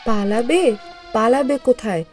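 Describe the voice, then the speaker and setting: A woman voicing a sharp-tongued scolding wife. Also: fairly high pitch, normal speed, faint music behind, lightly acted. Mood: furious. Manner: scolding, nagging. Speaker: cartoon voice actor. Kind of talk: cartoon voice acting.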